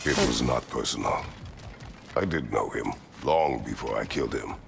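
Very deep, gravelly warrior god. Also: male voice, lively intonation, moderate pace, very noisy, full character voice. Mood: stern, cold. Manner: terse, grave, formal. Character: stern, brooding older warrior god seeking clarity. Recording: game music behind the dialogue.

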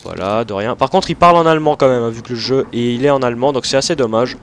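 A man's voice: medium pitch, fast. A young man with a blocked nose from hay fever, chatting casually and rambling over gameplay, with many fillers and self-corrections. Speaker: gaming video host.

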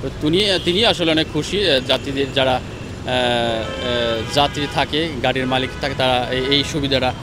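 A man reporting on location at a petrol station with a handheld mic, talking at length with many hesitant pauses. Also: mid-range voice, fairly even intonation. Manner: wordy, with frequent hesitations. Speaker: field reporter. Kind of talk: on-the-spot news report. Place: outdoors at a busy roadside petrol station. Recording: traffic noise outdoors.

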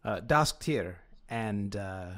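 A man's voice: lowish voice, expressive, slow, a bit bright. A man playing a tabletop role-playing game, chatting casually and jokily in and out of character, rambling a little. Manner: wry, rambling, jokey.

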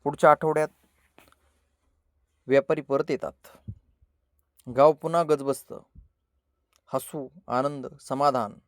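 A man's voice: a little high, steady tone, takes it slowly, pauses often, dry recording. Male storyteller, close and dry. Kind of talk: scripted children's story narration.

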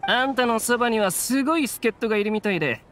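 A man's voice: very high-pitched, quick pace, a bit bright. A man playing a good-natured, chatty young male mercenary in an anime game, boyish and easily flustered. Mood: friendly. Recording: faint music behind the voice.

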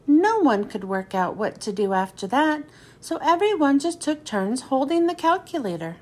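A woman reading a children's picture book aloud in an expressive storytelling manner, then thanking her listeners. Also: sits high, normal speed.

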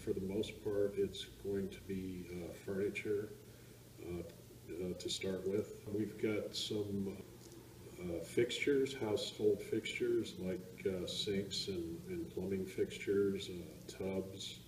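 A middle-aged man, a project supervisor, speaking in an interview with many fillers and pauses, recorded in a large warehouse. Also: sits low, steady tone, darkish tone, a little hiss or hum. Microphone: camera mic at a distance. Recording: echoey warehouse, mic far off.

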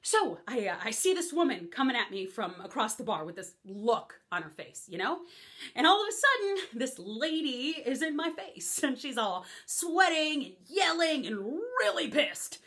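A brash modern woman who rambles crudely about a fight, as a woman acts her out. Her high, bright voice swings widely. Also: very animated, moderate pace.

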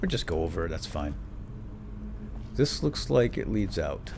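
A man's voice: low-pitched, big pitch swings, a little slow, vocal fry, darkish tone, slight background noise. A man hosting a let's play with lightly role-played commentary, murmuring asides and breaking into exclamations. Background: game music.

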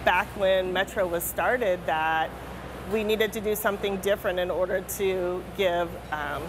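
Unhurried woman interviewed on a work site. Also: fairly low pitch. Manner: relaxed, conversational, unhurried. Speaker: school superintendent. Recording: noticeable site background noise.

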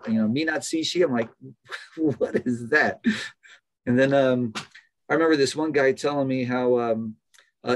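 American man telling stories, halting and conversational. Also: medium pitch. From United States. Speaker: interview guest, biology professor. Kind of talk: casual anecdotal storytelling. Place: small office, indoors. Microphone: computer microphone on a video call.

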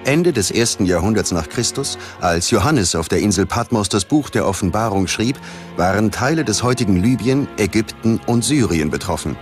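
Guy narrating a doom documentary, lowish voice, dramatic and measured, loud music underneath. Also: animated. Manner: dramatic, ominous, measured delivery. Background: prominent background music.

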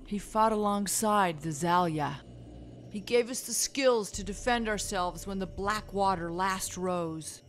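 A woman's voice: mid-range voice, a little slow, slight background noise, natural voice. A woman as a proud, solemn warrior woman. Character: proud, solemn female warrior of a fantasy land. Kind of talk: video game cutscene acting.

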